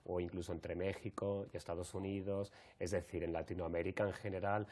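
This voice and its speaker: A man with an accent from Spain hosting an interview, wordy and halting as he rephrases his questions. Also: sits low, little pitch movement, a little mellow. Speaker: radio or TV show host. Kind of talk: live interview, introducing guests.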